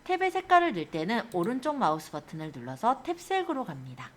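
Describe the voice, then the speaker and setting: A woman instructor giving an informal step-by-step software tutorial, explaining things and often checking that learners follow. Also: fairly high pitch, wildly swooping pitch, quick pace. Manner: explanatory, often checks understanding with tag questions. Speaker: computer skills instructor.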